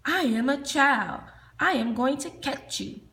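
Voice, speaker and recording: A woman's voice: high voice, a little slow, sharp tone, far from the mic, heavily acted. Crag, a woman doing a curious young swamp monster.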